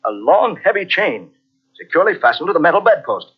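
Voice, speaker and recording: A jittery, husky-voiced houseguest. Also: male voice, high-pitched, big pitch swings, fast talker, full character voice. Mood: insistent. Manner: urgent, insistent calling out. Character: anxious male houseguest hearing howling. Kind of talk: 1940s radio acting. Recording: dull old radio transcription.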